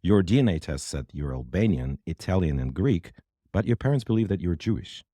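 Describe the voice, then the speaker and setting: A man's voice: deep voice, big pitch swings, a little fast, dark tone, husky, dry recording. A man hosting an interview podcast, giving prepared introductions and questions to a guest.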